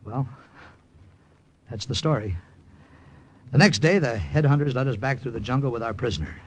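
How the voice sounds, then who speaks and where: An actor playing a brash, wisecracking American agent and magician in an old radio drama, with showy theatrical patter. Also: male voice, medium pitch, normal speed, slight background noise, natural voice. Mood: pleased, wry. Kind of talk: 1950s radio drama acting. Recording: old radio recording with faint music.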